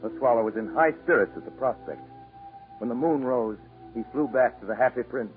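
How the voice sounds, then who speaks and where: A man giving scripted storybook narration in measured phrases, in the style of 1940s radio drama. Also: medium pitch, phone-quality sound, close to an everyday voice. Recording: 1940s radio broadcast over music.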